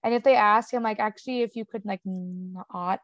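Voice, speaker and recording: A woman's voice: mid-range voice, fairly even intonation, normal speed, no room sound, muffled recording. A young woman with a stutter, talking casually as an interview guest, with sound repetitions, blocks and lots of "um" and "like".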